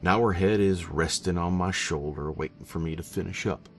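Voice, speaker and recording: A man reading a first-person horror story in a deliberate, theatrical way with dramatic pauses. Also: low voice. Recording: narration over background music. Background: eerie background music.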